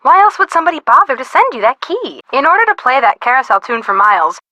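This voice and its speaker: A bubbly, girlish game sidekick, high and bright, with pitch that swoops around freely. She is a boy-crazy, gushing teenage girl with a playful manner, captured close and dry. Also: big pitch swings, quick pace, no echo, full character voice. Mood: friendly, helpful. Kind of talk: video game voice acting.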